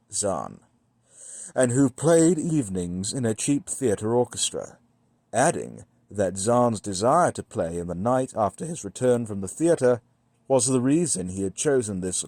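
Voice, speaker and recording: A man with a fairly low voice narrates literary horror very slowly. He phrases each passage deliberately and leaves dramatic pauses between them. The sound is dry and close, with little room in it. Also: mellow, no echo. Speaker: audiobook-style reader. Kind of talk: scripted literary narration of a gothic horror story.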